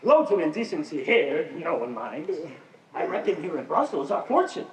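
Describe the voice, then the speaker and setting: A man acting as a scheming showman out for a fortune. The high voice swings widely in stagey delivery. It comes from far off in an echoey hall. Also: very animated, normal speed, slight background noise. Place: high school theater stage.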